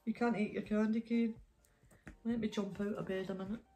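A woman's voice: mid-range voice, fairly even intonation, brisk, mellow, faint music behind. A middle-aged woman, hosting story time and reading a children's picture book aloud in a bedroom. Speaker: storytime host. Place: small bedroom, sitting up in bed.

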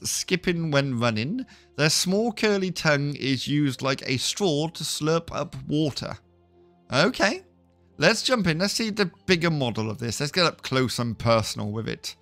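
A man's voice: a little high, fairly bright tone, no echo. A man hosting a casual gameplay video, chatty and self-deprecating, thinking aloud, still getting over a cough. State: recovering from a cough. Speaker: gaming video host.

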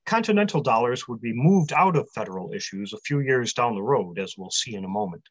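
A man's voice: medium pitch, lively intonation, no room sound. Man reading a prepared talk over webcam. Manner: measured, list-heavy delivery. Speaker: publisher presenting a convention talk. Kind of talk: prepared talk read aloud. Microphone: webcam on a video call. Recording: dry, slightly muffled webcam audio.

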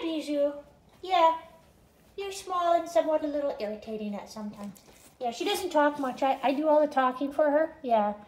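Woman voicing a squeaky chatty pet dog. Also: echoey room. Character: chatty young female pet dog, cheerful, food-loving beggar. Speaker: pretend news host. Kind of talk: pet vlog voiced as the dog. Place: home kitchen.

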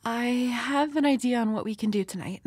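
A woman's voice: fairly high pitch, moderate pace, darkish tone, no room sound, close to an everyday voice. A woman playing a shy, flustered young woman next door, nervous and hesitant, in an intimate romantic audio roleplay. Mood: bashful, fond. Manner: nervous, hesitant, stammering, giggly.

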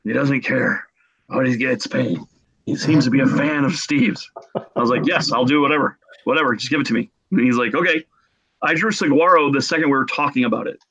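A middle-aged man telling casual stories as a podcast guest, in an excitable, jokey manner. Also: medium pitch, brisk, breathy edge. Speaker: podcast guest, game artist. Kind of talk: casual animated storytelling. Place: small room at home, video call. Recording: muffled lo-fi video call.

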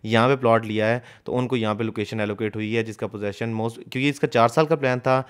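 A man's voice: mid-range voice, steady tone, fast talker, clean tone. A man narrating a voice-over of drone footage of a construction site, explaining a map and the building work step by step and mixing in English terms. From Pakistan. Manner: explanatory, walks viewer through map and footage; mixes English terms into his speech. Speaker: real estate consultant.

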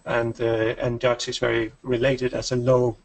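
A man presenting a webinar over a video call, measured and explanatory. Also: mid-range voice, completely flat delivery, vocal fry. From Denmark.

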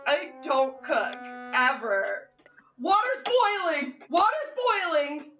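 Woman, 30s, chaotic home cook, swearing, self-mocking, slow lively high voice, roomy kitchen, music behind. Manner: chaotic, swearing, laughing at herself. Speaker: streamer cooking along with a friend. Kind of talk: casual livestream chat. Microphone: fixed camera across the kitchen.

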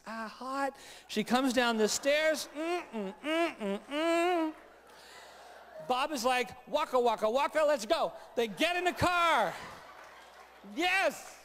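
A man preaching live at a conference, telling a comic story in an animated, playful way with sound effects. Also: very high voice. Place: large conference hall, live event. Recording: long hall reverb.

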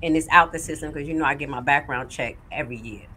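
A woman host with a New Jersey accent, chatting casually on a phone call and telling a story with a relaxed, laughing manner. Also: low-pitched, fast talker, slight background noise. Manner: laid-back, storytelling, laughs often.

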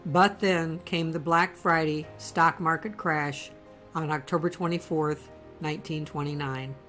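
A woman's voice: low-pitched, fairly even intonation, a little slow, music in the background. Woman narrating a history documentary.

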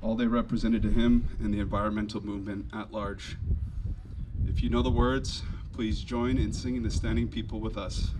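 A man in his thirties earnestly reading a prepared speech in a measured way into a microphone on an outdoor stage. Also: lowish voice, flat delivery. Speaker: speaker reading a tribute speech. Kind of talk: prepared speech read aloud to outdoor crowd. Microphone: handheld microphone through PA. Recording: dull PA sound with light outdoor noise.

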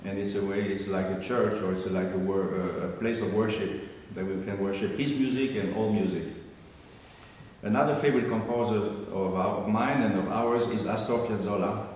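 A man's voice: on the low side, steady tone, darkish tone, slight background noise. French-accented man in a big echoing hall. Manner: halting, with many fillers and restarts. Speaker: violinist addressing a concert audience. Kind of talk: unscripted speech from the stage. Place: large concert hall stage with live audience. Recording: distant voice in a reverberant concert hall.

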